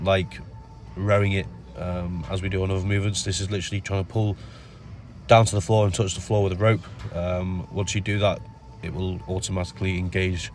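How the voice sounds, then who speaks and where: A man with a nasal voice from a cold, explaining his gym workout in a relaxed voice-over full of ums. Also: deep voice. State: has a cold. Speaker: bodybuilder narrating his workout. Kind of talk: casual voice-over commentary.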